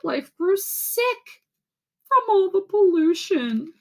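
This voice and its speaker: A woman reading aloud as a teacher to schoolchildren, with expressive, deliberate phrasing. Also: extremely high pitch. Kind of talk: read-aloud storytelling and simple instructions for children.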